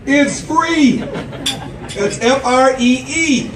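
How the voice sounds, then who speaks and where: A man with a high voice hypes up a crowd, pitching and introducing guests with salesy energy. He sounds far from the mic in an echoey hall full of background noise. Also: very slow. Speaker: event host. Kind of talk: hyping up a sales event. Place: event venue with a crowd.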